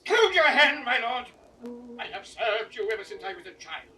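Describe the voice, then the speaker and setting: Very high, urgent loyal servant. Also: male voice, sing-song, normal speed, sharp tone, exaggerated voice. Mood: defiant. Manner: urgent, impassioned. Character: brave, loyal male servant turned defiant. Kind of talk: 1960s Shakespearean audio drama acting. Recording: distant 1960s drama recording.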